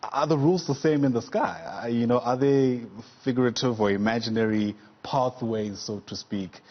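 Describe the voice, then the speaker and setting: A man's voice: mid-range voice, fairly even intonation, moderate pace, dull audio. A man working as a news interviewer, putting wordy, inquisitive questions in a conversational way. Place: TV news studio.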